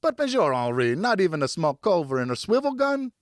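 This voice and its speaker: Man playing an eager, high-pitched boy sailor. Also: fairly quick, no room sound, clean studio sound. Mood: pleading. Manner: excited, cajoling.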